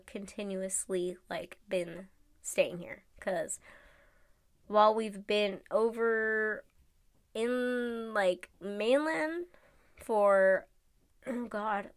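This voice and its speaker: A woman's voice: mid-range voice, dry recording, studio quality. A woman with a congested, nasal voice from allergies, chatting casually through a nail tutorial with many fillers.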